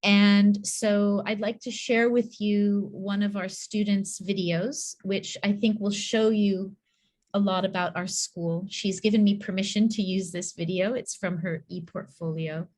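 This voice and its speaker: A woman who leads a school, giving a measured, reflective conference talk in a hall with the occasional soft laugh. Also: medium pitch, fairly even intonation. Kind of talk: conference presentation.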